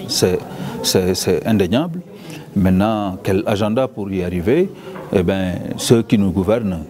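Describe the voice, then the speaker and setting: A man speaking as a conference panelist, deliberate and repetitive, with his pitch leaping when he stresses points. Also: mellow. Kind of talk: interview statement. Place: conference venue. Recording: crowd murmur behind.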